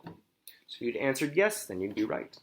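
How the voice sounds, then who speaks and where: A man reading a children's storybook aloud like a teacher, expressively, pausing to explain and ask questions. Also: medium pitch, big pitch swings.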